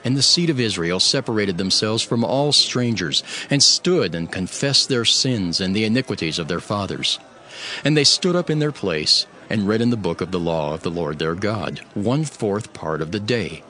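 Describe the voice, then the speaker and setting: Bright, deep-voiced solemn male narrator. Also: expressive. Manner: solemn, measured. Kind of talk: scripted scripture narration. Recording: faint music under the voice.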